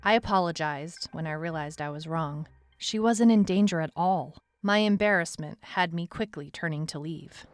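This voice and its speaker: A woman's voice: deep voice, normal speed, a little hiss or hum, lightly acted. A woman playing a wry, anxious detective who narrates her own case in a noir-like audio drama. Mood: wry, uneasy. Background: music.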